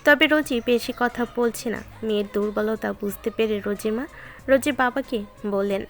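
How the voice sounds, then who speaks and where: A woman's voice: fairly high pitch, moderate pace. A woman reading scripted narration of a romantic story, with music behind her voice.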